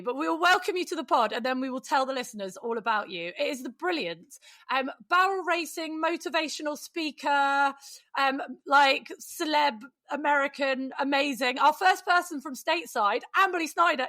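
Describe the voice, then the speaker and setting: A woman's voice: sits high, pushed and bright, no echo. A British woman hosting a podcast, chatting casually in an effusive, jokey way. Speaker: podcast host. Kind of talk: casual, bantering podcast chat.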